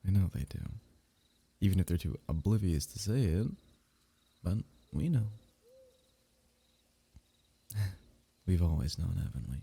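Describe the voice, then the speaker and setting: Low, gravelly-voiced man, intimate. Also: expressive, measured pace, long pauses. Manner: soft, intimate, teasing, drifting into whispers. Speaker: ASMR roleplay performer. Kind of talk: intimate ASMR roleplay.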